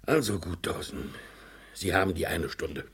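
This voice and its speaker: A man's voice: sits low, animated, normal speed, fairly bright tone, husky, full character voice. A male actor in a German crime radio play, playing a stern, sceptical director with a formal, authoritative manner.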